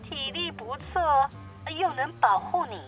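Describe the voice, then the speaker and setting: A woman's voice: sits high, lively intonation, measured pace, over music. A woman playing a nagging, melodramatic middle-aged mother in a comedy radio drama, heard through a telephone filter. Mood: eager, coaxing. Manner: wheedling, dramatic, chatty. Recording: tinny band-limited phone-call sound.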